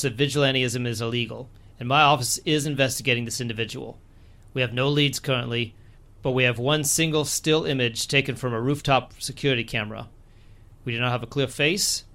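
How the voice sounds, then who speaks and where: A man acting in an audio drama as an official police captain, speaking in a formal, measured, authoritative way. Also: mid-range voice, bright, forceful tone. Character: official, probing male police captain briefing a council.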